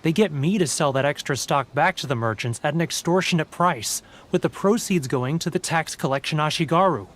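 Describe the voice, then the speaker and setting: A man's voice: fairly high pitch, brisk, hardly any pauses, fairly bright tone, lightly acted. An actor playing a homesick, guilt-ridden male merchant in a game, mournful and prone to stammering. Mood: confessing. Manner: mournful, stammering, self-pitying. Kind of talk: video game cutscene dialogue.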